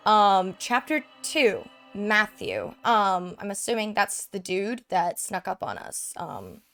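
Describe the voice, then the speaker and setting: A woman's voice: mid-range voice, very animated, slow pace, a bit bright, faint music behind. A woman with a congested, stuffy voice from a cold, giving rambling, casual commentary while playing a video game. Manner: chatty, rambling, lots of fillers. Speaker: let's play streamer.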